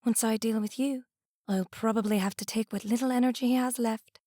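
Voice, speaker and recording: A woman's voice: fairly high pitch, fairly even intonation, brisk, dark tone, no room sound, studio quality, lightly acted. A woman playing a cruel, gloating immortal enchantress in an audio drama, taunting and theatrical, savoring her words. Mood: smug, menacing. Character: gloating, cruel immortal enchantress who transforms people into swans.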